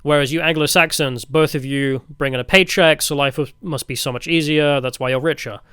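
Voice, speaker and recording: A man telling travel stories as a vlogger in a casual, wry, deadpan way. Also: fairly high pitch, a little fast, smooth, no echo, studio quality. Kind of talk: casual storytelling narration.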